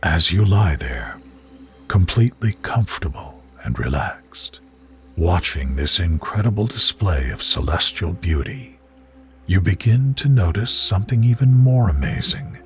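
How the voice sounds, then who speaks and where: Male narrator for guided sleep visualization. Deep, very slow, soothing and measured, with music underneath. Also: big pitch swings. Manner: soothing, gentle, measured delivery. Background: music behind the voice.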